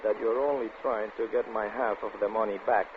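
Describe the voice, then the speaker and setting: An actor playing a wry, guarded soldier of fortune in a 1940s radio drama. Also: male voice, fairly low pitch, steady tone, fairly quick, lightly acted. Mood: wary, teasing. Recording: tinny, noisy old radio recording.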